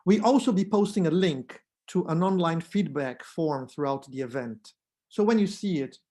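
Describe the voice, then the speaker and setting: A man's voice: fairly high pitch, darkish tone. A man whose native language is Brazilian Portuguese, introducing an online arts event as its producer and host, talking in an earnest, welcoming way.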